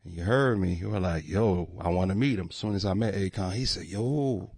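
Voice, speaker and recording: A man's voice: deep voice, fairly quick, darkish tone, slightly rough, dry recording. A man from North Miami telling stories as a rapper guest, acting out other people's voices and laughing often. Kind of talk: casual storytelling interview.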